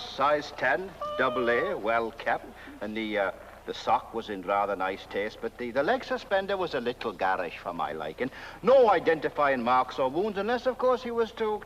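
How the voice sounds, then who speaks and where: A self-important British village constable from a comic film. His fairly high male voice rises and dips freely as he rambles and repeats himself pompously. The soundtrack is muffled and faintly noisy. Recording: dull, slightly noisy old film soundtrack.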